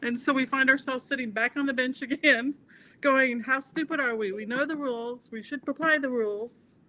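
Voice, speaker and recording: Woman, 60s, from the South, bright voice, chatty and self-mocking. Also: sits high, normal speed, low-quality recording. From American South. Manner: chatty storyteller, self-deprecating. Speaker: trader on a panel. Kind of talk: casual chat.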